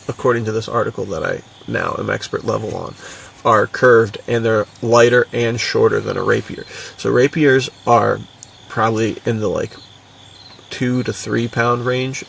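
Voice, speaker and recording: A man's voice: fairly low pitch, creaky, recorded from a distance, slight background noise. A young man co-hosting a podcast in casual, enthusiastic chat, with a slangy, buddy-like manner. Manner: slangy, buddy-like, frequently chuckling. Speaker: podcast co-host.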